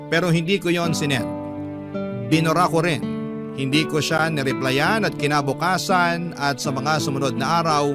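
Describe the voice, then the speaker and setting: A lovesick young cashier's confession, read by a man with a Filipino accent. The voice is fairly high for a man, telling the story in the first person as radio drama, with loud guitar music behind it. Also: steady tone, normal speed, natural voice. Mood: yearning, confiding. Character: lovesick, guilt-ridden young cashier narrating a confession letter. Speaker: narrator reading a letter sender's story.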